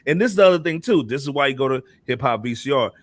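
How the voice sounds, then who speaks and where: Man, American accent, medium pitch, slangy talk show host, casual hip hop chat, dry recording. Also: animated, racing, no room sound. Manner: slangy, often punctuates phrases with "man".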